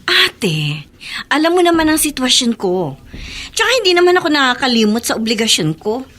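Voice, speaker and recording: An evasive younger Filipino sister in a radio drama, always justifying herself. Her high voice is tense and swoops expressively. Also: big pitch swings, normal speed, a bit bright. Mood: defensive. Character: defensive younger sister, busy working woman, evasive.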